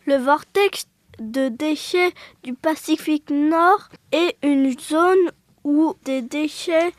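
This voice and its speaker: A young girl presents a scripted radio programme. Her voice is high and her reading is markedly slow. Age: child.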